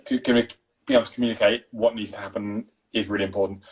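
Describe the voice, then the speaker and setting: Posh-sounding English man, mumbly and fast. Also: fairly low pitch, steady tone, slightly rough, low-quality recording. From East London. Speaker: guest cinematographer. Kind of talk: casual chat.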